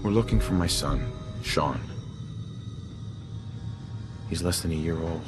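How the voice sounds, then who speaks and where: A man's voice: deep voice, takes it slowly, over music. An actor playing an earnest, troubled father in a video game. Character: earnest, troubled father searching for his kidnapped infant son.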